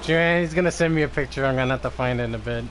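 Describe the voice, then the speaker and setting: A man's voice: mid-range voice, very fast. A man chatting casually as he streams a game. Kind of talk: casual live stream chat. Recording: slightly noisy stream audio.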